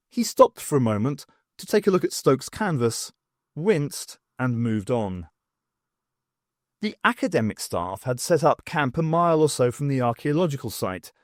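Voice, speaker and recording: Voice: mid-range voice, no echo, studio quality. A narrator reading a prose story as a scripted audiobook.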